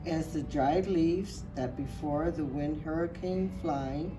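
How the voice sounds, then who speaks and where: Woman acting as Santa's wife, low voice, expressive unhurried storybook reading, distant mic, music behind. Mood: excited. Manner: unhurried, expressive, addressing listeners directly. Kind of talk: storybook reading aloud to children.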